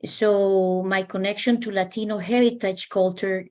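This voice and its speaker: A woman's voice: medium pitch, steady tone, measured pace, darkish tone. A middle-aged woman from Costa Rica, a native Spanish speaker with a Costa Rican accent, sharing personal stories as a panelist. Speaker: panelist, economics professor.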